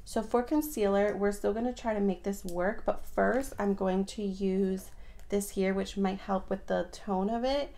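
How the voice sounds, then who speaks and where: A young woman chatting casually through a makeup routine, her voice raspy from just waking up, rambling with lots of fillers. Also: mid-range voice, fairly even intonation, a little slow, dark tone. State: raspy morning voice. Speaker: beauty vlogger. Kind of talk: casual get-ready-with-me chat.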